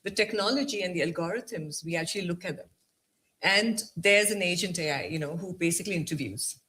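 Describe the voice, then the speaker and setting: A man's voice: high voice, a little fast, bright, forceful tone. A man on a business panel who jumps in eagerly and fills his speech with fillers. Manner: eager, interjecting, uses many fillers. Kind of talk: panel discussion. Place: conference stage.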